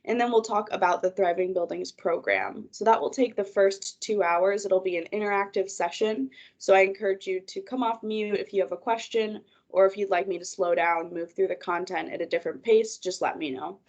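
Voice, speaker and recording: A woman's voice: mid-range voice, steady tone, fairly quick, hardly any pauses, darkish tone, highs cut off. A woman, a project manager presenting a webinar over a video call, congested from a cold, guiding listeners through her slides in an organized way.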